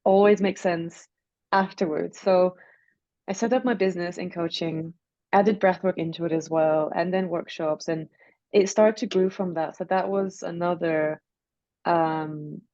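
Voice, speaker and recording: Guest coach, Turkish accent, low mellow voice, dry dull video-call sound. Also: female voice, fairly even intonation, unhurried, no room sound. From Turkey. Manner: reflective, searching phrasing with fillers. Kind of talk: relaxed interview.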